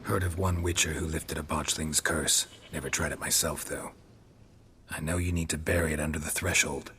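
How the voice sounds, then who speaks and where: A very deep, dry-voiced monster hunter firing off curt, doubting questions in a fantasy video game. Under the low pitch his tone is bright and edged, with a faint hiss of noise behind it. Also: fairly even intonation, moderate pace, full character voice. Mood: skeptical. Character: gruff, skeptical male monster hunter. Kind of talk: video game cutscene acting.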